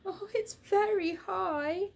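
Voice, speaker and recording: A woman voicing an eager, excitable little boy teddy bear in a storybook. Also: very high-pitched, takes it slowly, creaky, voice pushed up, heavily acted. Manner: excited, wide-eyed.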